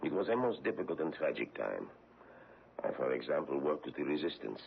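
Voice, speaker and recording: An actor playing a courteous, probing foreign investigator on the trail of a war criminal, in a 1970s radio drama. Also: male voice, extremely low pitch, sing-song, fairly quick, creaky, a little hiss or hum, heavily acted. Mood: suave, probing. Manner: courteous, probing, gently menacing. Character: suave middle-aged foreign male war-crimes investigator. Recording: tinny old radio broadcast.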